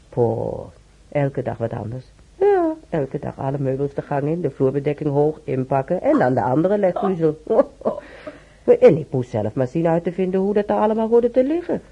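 A woman's voice: low voice, dark tone, lo-fi sound, heavily acted. A woman playing a talkative, wry old hospital patient and former maid in a radio drama, rambling colloquially and muttering to herself. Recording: clearly noisy old radio recording.

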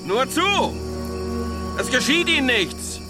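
An actor playing a domineering outlaw captain in a radio play, speaking in brusque, commanding phrases. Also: male voice, very high-pitched, big pitch swings, slow pace, over music, exaggerated voice. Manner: commanding, curt.

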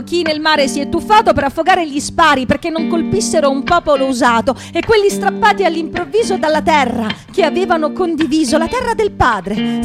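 Woman acting high-voiced mourning witness over guitar. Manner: lyrical, declamatory. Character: lyrical female witness mourning the lost, unyielding. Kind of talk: poetic theatrical monologue in a radio play. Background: acoustic guitar music.

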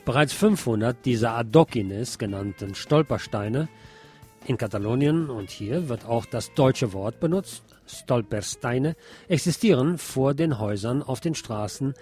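Man, radio presenter, scripted news read, medium pitch, faint guitar music behind. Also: lively intonation, a little slow, darkish tone.

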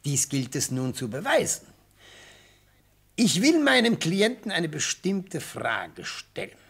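An actor playing an elderly, theatrical defence lawyer in a radio play, speaking in rhetorical, declamatory phrases with savoured pauses and a husky voice. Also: male voice, a little high, wildly swooping pitch, a bit bright, exaggerated voice. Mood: insistent, probing. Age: older. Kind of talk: radio play courtroom oratory. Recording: vintage radio play recording.

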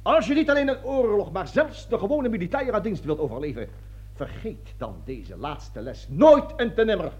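An actor playing a pompous, fanatical army colonel with a declamatory manner in a satirical radio play. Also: male voice, high-pitched, sing-song, normal speed, darkish tone, breathy edge, full character voice. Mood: self-important. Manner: declamatory speeches, barking orders, stammering when flustered. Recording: dull lo-fi radio drama recording.

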